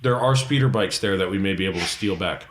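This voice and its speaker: A man's voice: sits low, fast, a little hiss or hum. A man playing a tabletop roleplaying game, chatting casually with a joking, wry manner. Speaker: player.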